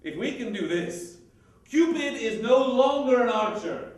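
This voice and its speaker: A man's voice: very high-pitched, expressive, a little slow, voice pushed up. A man playing a jovial, scheming nobleman in a playful, declamatory Shakespearean monologue. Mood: playful, exuberant. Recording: very echoey room, distant mic.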